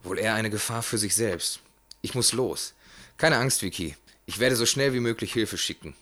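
A man plays a calm hypnotherapist in a horror radio play. His voice sits fairly low, and he speaks in a deliberate, formal, clinical way. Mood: composed. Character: Jacques, calm, measured, clinical male hypnotherapist.

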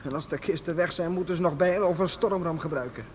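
A man's voice: a little high, quick pace, natural voice. An actor playing an earnest, loyal young man in an old radio drama. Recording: old radio play recording with hiss.

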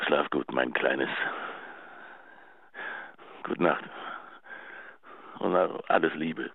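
Radio drama: pleading, doting older man, deep register, dry telephone-message sound. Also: very slow, creaky, breathy edge, dry recording, heavily acted. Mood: wounded, tender. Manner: coaxing, pleading, tender. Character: doting, possessive older man, self-styled old grumbly bear. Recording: dry, narrow answering machine phone line.

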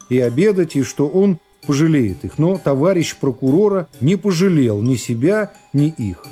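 A man's voice: a little high, mellow, breathy edge. A man narrating a classic novel for a radio play in a dramatic literary style.

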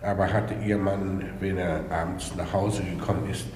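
Low-voiced, laconic police inspector played by an actor. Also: male voice, steady tone, a little mellow, natural voice. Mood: methodical, dry. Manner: laconic, measured, dry. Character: reserved, methodical police inspector, patiently questioning. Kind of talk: 1950s German radio play acting. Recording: echoey, faintly hissy 1950s radio recording.

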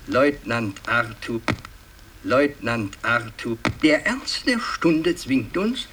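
An actor playing a pompous, fussy older aristocratic patriarch in a radio drama, speaking in a halting, ceremonious, long-winded way. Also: male voice, medium pitch, a bit bright. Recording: distant, noisy 1960s radio recording.

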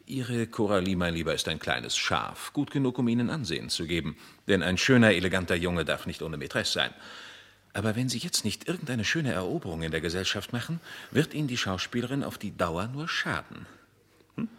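An actor playing a worldly, cynical gentleman in a radio drama, suave and patronizing. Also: sits low, sing-song, fairly quick, exaggerated voice. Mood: cynical advising.

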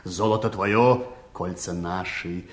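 An actor playing a brusque, menacing pirate envoy in a fairy-tale play, with blunt, clipped threats. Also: male voice, deep voice, slow pace. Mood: urgent, deferential. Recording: roomy echo.

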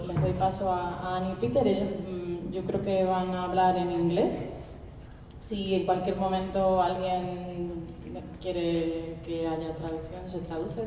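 A woman hosting a seminar, introducing the guests casually with many fillers. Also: fairly low pitch. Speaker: seminar host. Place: seminar room. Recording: distant mic in an echoey hall, background noise.

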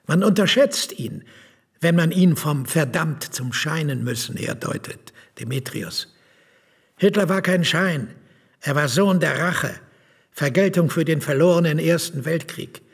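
An opinionated playwright argues deliberately in a radio play. The voice is extremely husky and gravelly, pitched a little high for a man. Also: heavily acted. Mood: insistent, reflective. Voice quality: very raspy, gravelly. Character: opinionated male playwright explaining his views.

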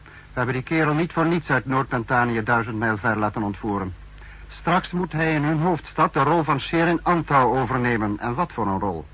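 An actor playing a stern, sceptical high-ranking leader in a 1960s radio drama, formal and authoritative. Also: male voice, expressive, moderate pace, a bit bright, breathy edge. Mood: sceptical, commanding. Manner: formal, authoritative, clipped commands. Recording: muffled-edged vintage 1960s radio recording.

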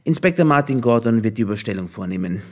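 An actor playing a pompous middle-aged police superior with a husky voice, talking with theatrical, scornful condescension in a radio crime drama. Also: male voice, medium pitch, animated, fast talker, natural voice. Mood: stern, condescending.

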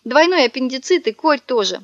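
A woman's voice: medium pitch, lively intonation, moderate pace, a bit bright, dry recording, lo-fi sound, lightly acted. Woman playing a wailing young swindler. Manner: wailing, wheedling, melodramatic. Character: sly young male swindler, whining and theatrical.

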